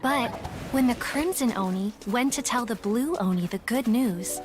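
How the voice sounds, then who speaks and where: A woman's voice: on the high side, normal speed, over music, close to an everyday voice. A woman voicing a shrewd, self-assured detective for hire in a video game, articulate and businesslike. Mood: storytelling. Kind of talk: English video game voice acting, explanatory dialogue.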